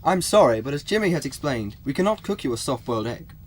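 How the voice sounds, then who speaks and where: Officious, animated male head chef, acted. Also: medium pitch, fast talker. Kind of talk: spoof docu-soap radio comedy acting.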